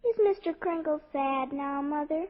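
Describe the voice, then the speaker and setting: A woman's voice: high-pitched, normal speed, lightly acted. A female performer playing an earnest, trusting small child in a 1940s radio drama, artless and eager. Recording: muffled vintage 1940s radio broadcast.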